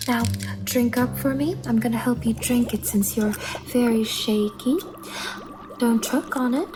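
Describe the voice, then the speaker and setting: A woman playing a sweet, devout angel rescuer in an ASMR roleplay, with a gentle, soothing and primly pious manner. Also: fairly high pitch, steady tone, measured pace, music in the background, natural voice. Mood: caring, gentle. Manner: gentle, soothing, prim and pious, 1950s styled. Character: sweet, devout female angel rescuer tending a bunker survivor.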